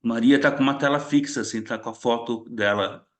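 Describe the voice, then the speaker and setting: A man's voice: mid-range voice, a little fast, a bit bright. Brief: male facilitator on a video call, friendly short welcomes. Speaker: retreat facilitator calling on participants. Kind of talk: casual online group meeting.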